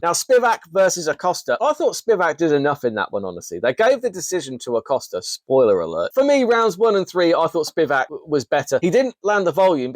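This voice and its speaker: A man's voice: fairly high pitch, no room sound. A man, hosting a casual, enthusiastic fight recap with frequent restarts. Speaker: host. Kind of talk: casual MMA recap commentary.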